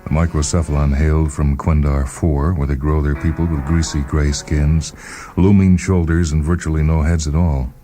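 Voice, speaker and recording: A man hosting and reading short fiction in a measured, deliberate, dramatic narration style. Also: rumbling low, flat delivery, warm tone. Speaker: host and reader of a short story programme. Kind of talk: dramatic scripted story narration. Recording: music playing behind the voice. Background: music.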